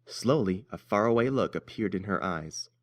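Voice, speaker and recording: Deep voiced guy narrating a fiction story, reading from a script. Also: lively intonation, moderate pace.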